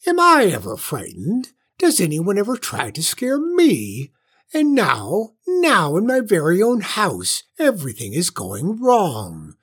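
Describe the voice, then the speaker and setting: Storybook old witch, high-pitched, shrill, bad-tempered, self-pitying, snorting with indignation. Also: huge pitch swings, slow, hardly any pauses, slightly rough, heavily acted. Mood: self-pitying, angry. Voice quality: shrill, shrieking.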